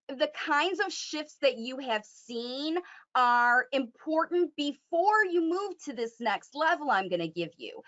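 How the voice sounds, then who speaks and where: High-pitched woman parent coach, upbeat and emphatic, keeps saying right?, muffled call audio. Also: fairly bright tone. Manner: emphatic, encouraging, frequent "right?" tag questions.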